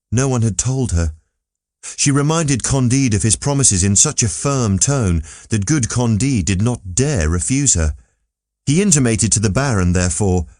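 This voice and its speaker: A man's voice: on the low side, dark tone, no echo, studio quality. A man narrating a classic literary story from a script as an audiobook reader.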